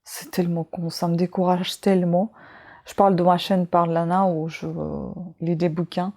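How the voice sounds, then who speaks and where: A woman's voice: lowish voice, little pitch movement, normal speed, mellow. A woman in her forties with a foreign accent in French, a vlogger chatting casually as she reads out book titles and adds asides. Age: 40s. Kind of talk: casual chat.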